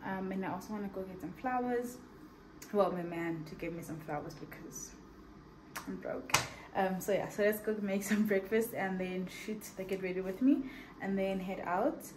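A woman's voice: mid-range voice, moderate pace. Chatty woman vlogging. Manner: chatty, rambling, thinks aloud. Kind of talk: casual vlog chat to camera. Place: at home, partly in a kitchen. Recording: home video with steady background noise.

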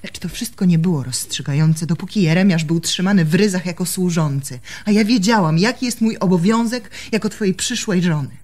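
An actress playing a proud, reproachful young barmaid and jilted fiancée in a radio drama, speaking accusingly and emphatically. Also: female voice, lowish voice, a little fast, few pauses, darkish tone, close to an everyday voice.

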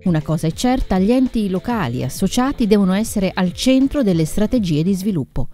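A woman's voice: mid-range voice, very animated, a little fast, dark tone. A woman reporter reading scripted narration for a news report. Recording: music behind narration. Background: background music.

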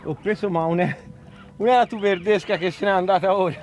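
A man's voice: sits high, steady tone, fairly quick, soft background music. A man hosting a fishing show, chatting casually on a small boat at sea and coaching his fellow angler.

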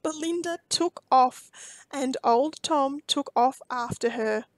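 A woman reading a children's storybook aloud expressively, with deliberate phrasing and dramatic emphasis. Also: high voice, no room sound.

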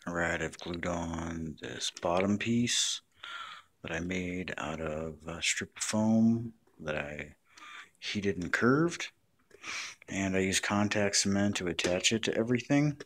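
Low-voiced man explaining handiwork. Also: slow, a bit bright. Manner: explains step by step, plainspoken. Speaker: craft tutorial presenter. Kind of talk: casual hands-on tutorial narration.

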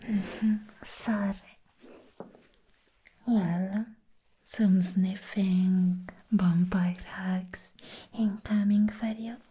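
A woman voicing a sweet, doting vampire girl in an ASMR roleplay, soothing the listener toward sleep in soft, breathy whispers. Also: mid-range voice, level tone, full character voice. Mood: playful, caring. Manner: slow, tender, soothing, repeating words.

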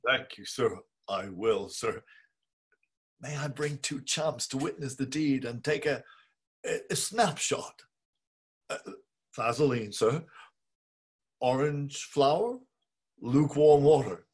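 A man's voice: mid-range voice, animated, slow pace, long pauses, breathy edge. An actor playing a meek, grovelling middle-aged man, pleading and theatrical, in a dramatic reading over a video call. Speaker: stage actor.

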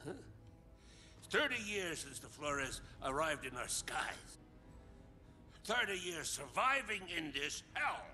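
Bitter old tyrant, wildly swooping voice. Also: male voice, on the high side, big pitch swings, pushed and bright, faint music behind, heavily acted. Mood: accusing. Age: older. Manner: halting, theatrical, self-justifying, lapsing into whispers. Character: bitter, defiant old man, deranged survivor and tyrant. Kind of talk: dramatic video game cutscene acting.

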